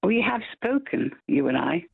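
A woman's voice: on the low side, big pitch swings, normal speed, vocal fry, crowd noise. An older Scottish woman calling into a radio show, chatty and effusive. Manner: chatty, effusive, laughs easily. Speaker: caller. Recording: tinny phone line.

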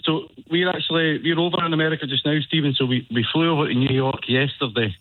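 A Scottish man in his forties calling a radio show by phone, excited and rambling with many restarts. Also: on the high side, fast, no echo. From Scotland. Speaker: caller. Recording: phone line.

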